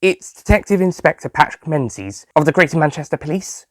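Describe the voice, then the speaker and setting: A man voicing a hesitant police detective inspector, halting and uneasy as he reports over the phone. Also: a little high, lively intonation, no room sound, pitched above the performer's own voice, lightly acted.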